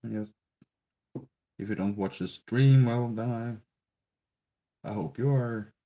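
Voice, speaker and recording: Slow, gravelly Swedish-accented man streaming. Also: medium pitch, mellow. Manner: slow, hesitant, rambling with many restarts. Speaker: crafting streamer. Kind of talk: casual live-stream chat while crafting. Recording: dull lo-fi stream audio.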